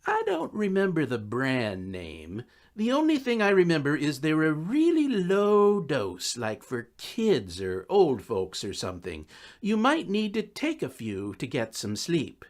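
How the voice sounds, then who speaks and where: A man's voice: a little high, wildly swooping pitch, measured pace. Man doing a slack, drawling college stoner dude. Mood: offhand, casual. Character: laid-back druggie college guy, young man, dismissive.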